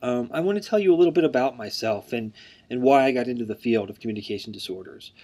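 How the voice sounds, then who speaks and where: A man's voice: medium pitch, quick pace, darkish tone. A man in his thirties introducing himself as the instructor of an online course. He speaks earnestly, with a mild stutter, word repetitions and frequent "um" fillers.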